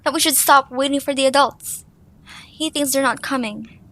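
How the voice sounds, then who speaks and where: A woman's voice: high voice, moderate pace, bright, forceful tone. A woman voicing a stubborn, guilt-ridden young creature in an audio drama. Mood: resigned, thoughtful. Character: stubborn, guilt-ridden young female Zoombini creature searching for her friend. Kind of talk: audio drama character acting.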